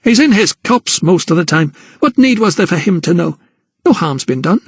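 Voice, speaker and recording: Man playing a fierce mother, scolding, indignant, high and loud. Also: expressive, brisk, dry recording. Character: gaunt, fierce, defensive mother.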